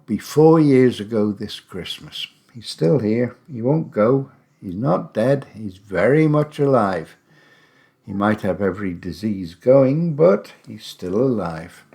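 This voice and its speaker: A man's voice: mid-range voice, animated, slow pace, creaky, a little mellow. A man in his seventies, host of a podcast, talking about himself in a chatty, rambling way with wry asides. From England. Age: 70s. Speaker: podcast host, English teacher. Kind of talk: casual personal monologue.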